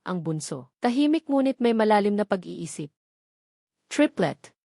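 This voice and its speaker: A woman's voice: medium pitch, a little mellow, no room sound, clean studio sound. A woman reading a scripted romance drama as a story narrator.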